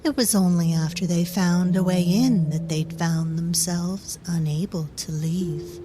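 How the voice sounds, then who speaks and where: A woman performing as a solemn storyteller, giving measured, grave scripted narration for a dark fairy-tale drama. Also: lowish voice, noisy background, natural voice. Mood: grave, hushed.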